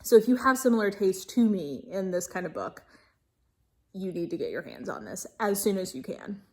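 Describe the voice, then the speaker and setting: A woman's voice: medium pitch, very animated, moderate pace, a little mellow. A woman giving a casual video book review in a gushing, emphatic manner, stretching key words for stress. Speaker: book reviewer and channel host.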